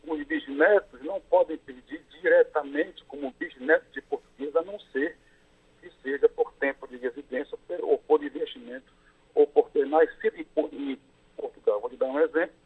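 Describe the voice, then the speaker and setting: A Brazilian lawyer answers interview questions in a measured, step-by-step way, pausing on fillers as he goes. His voice sits a little high and sounds husky. It all comes through a thin, tinny phone line.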